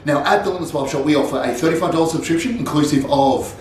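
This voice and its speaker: A man's voice: fairly high pitch, racing. A man with a congested voice, speaking in formal, wordy phrasing as he owns and narrates his barbershop vlog. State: congested, sick. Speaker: barbershop owner and vlogger. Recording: roomy echo with faint noise.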